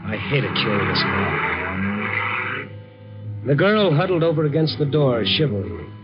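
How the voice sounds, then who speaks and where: A man's voice: medium pitch, sing-song, unhurried. A tough but kindly American newspaperman in a 1950s radio drama. He is wry and understated, with a noir storyteller's delivery. The sound is a muffled old broadcast with prominent music. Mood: brooding. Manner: wry, hard-boiled, understated. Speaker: narrator and lead of a radio drama. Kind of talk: 1950s radio drama acting, noir first-person narration. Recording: dull, band-limited 1950 radio recording with music.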